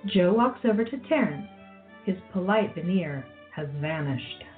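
A woman's voice: fairly low pitch, lively intonation, a little slow, phone-quality sound, music in the background. A woman narrating a radio play, reading scripted storytelling passages.

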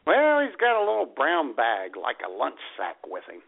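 A man co-hosting a casual comedy radio show, telling stories in an animated, exasperated way. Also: a little high, moderate pace, gravelly. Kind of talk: casual comic banter and storytelling. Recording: tinny phone-line internet radio sound.

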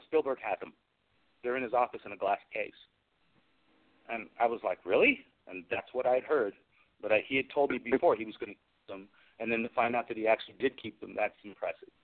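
A middle-aged man, a guest craftsman, telling chatty anecdotes in an interview and acting out bits of conversation as he goes. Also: mid-range voice. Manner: chatty, recounts conversations in quoted dialogue. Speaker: guest, special effects craftsman. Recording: tinny phone-line radio interview.

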